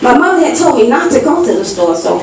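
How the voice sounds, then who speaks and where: A woman in her fifties telling a personal story at a public meeting, in deliberate, dramatic phrases broken by pauses. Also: sits high, big pitch swings, heavy background noise. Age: 50s. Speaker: audience member giving testimony. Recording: distant mic in very echoey hall.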